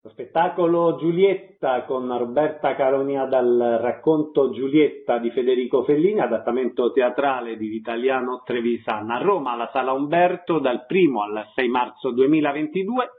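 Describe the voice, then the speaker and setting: A man's voice: mid-range voice, normal speed, few pauses, husky. A man working as a radio host, interviewing guests about theatre. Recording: muffled lo-fi radio audio.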